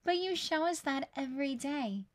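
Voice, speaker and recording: A woman's voice: high voice, lively intonation, normal speed. A woman voicing eager, sweet young schoolchildren in a storybook, with an innocent manner. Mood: affectionate.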